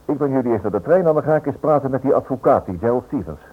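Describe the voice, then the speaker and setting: A man's voice: medium pitch, expressive, rapid-fire, slightly rough. An actor playing a stubborn, protective husband and father in a radio drama, urgent and dismissive. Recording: muffled old radio play recording.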